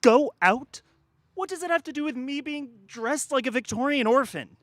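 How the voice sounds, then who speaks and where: A woman's voice: sits high, moderate pace, no room sound, lightly acted. A woman acting a bewildered, indignant human who has just died in a radio drama, stammering in disbelief. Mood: panicked, confused.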